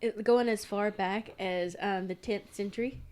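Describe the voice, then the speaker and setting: A woman's voice: medium pitch, steady tone, a little slow, a little mellow. A woman co-hosting a podcast, telling folk tales in a casual, irreverent, sweary way. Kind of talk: casual chat and informal storytelling.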